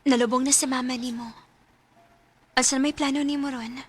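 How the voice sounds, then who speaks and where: A woman's voice: sits high, fairly even intonation, normal speed, natural voice. An actress playing a devoted, earnest young woman in a radio drama. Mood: concerned. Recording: music behind the voice.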